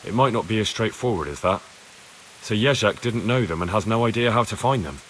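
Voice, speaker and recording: Earnest, low-voiced young village lad. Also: male voice, expressive, fairly quick, a bit bright, a little hiss or hum, low-quality recording. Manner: plain-spoken, questioning. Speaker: voice actor in a video game. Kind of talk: dramatic video game dialogue.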